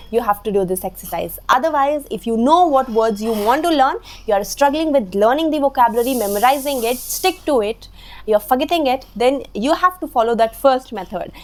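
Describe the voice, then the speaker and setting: A woman's voice: fairly high pitch, a little mellow. A young woman in her twenties whose first language is Hindi, speaking English with an Indian accent and earnestly explaining vocabulary tips to camera, repeating phrases for emphasis. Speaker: English teacher, channel host. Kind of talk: instructional talk to camera. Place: small room indoors, slatted wall.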